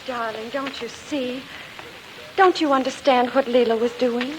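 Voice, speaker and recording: Affectionate teasing sweetheart, high-pitched, 1940s radio acting, noisy vintage recording. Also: female voice, fairly even intonation, measured pace. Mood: tender, pleading. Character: affectionate, gently teasing sweetheart of a blustery man.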